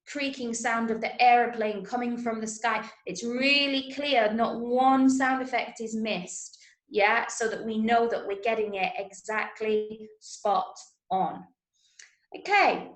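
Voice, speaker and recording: English lady teaching drama class on a video call, highish voice, echoey room, really encouraging. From Manchester, England. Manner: encouraging, praising students' answers. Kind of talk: interactive online lesson. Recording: roomy, echoey sound.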